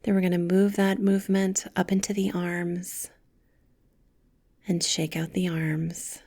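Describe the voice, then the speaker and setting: Slow, hushed woman guiding meditation. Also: lowish voice, little pitch movement, no room sound. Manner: soft, breathy, gentle and soothing, often near a whisper. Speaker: guided meditation guide. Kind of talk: guided meditation, gentle instruction.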